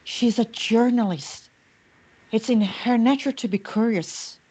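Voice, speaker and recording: A woman's voice: a little high, a little slow, dark tone, husky, low-quality recording. A woman playing a guarded, dismissive female cult member in a screenplay reading, matter-of-fact in manner. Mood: worried.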